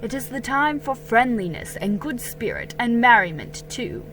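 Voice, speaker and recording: A woman voicing a kindly, measured colonial father in a dramatic storybook reading, gentle and reassuring. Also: on the high side, big pitch swings, soft background music, low-quality recording.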